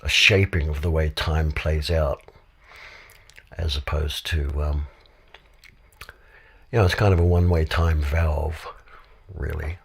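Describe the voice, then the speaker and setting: A man with a cold and a very deep, husky voice, talking in a rambling way with many fillers in a casual discussion. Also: fairly even intonation, slow pace, pauses often. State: has a cold. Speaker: podcast guest. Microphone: studio microphone.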